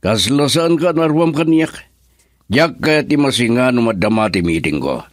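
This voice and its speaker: A man's voice: mid-range voice, lively intonation, moderate pace, no room sound, clean studio sound, natural voice. An actor with an Ilocano Filipino accent playing a commanding, evasive businessman husband in a radio drama. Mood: firm, irritated.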